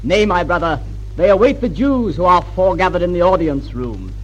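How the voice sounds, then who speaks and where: An actor playing a sly, sardonic prince who taunts in a theatrical way in an old-time radio historical drama. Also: male voice, sits high, expressive, fairly quick, lo-fi sound, faint music behind. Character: sly, mocking prince, younger brother of the king. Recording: vintage 1940s-50s radio recording.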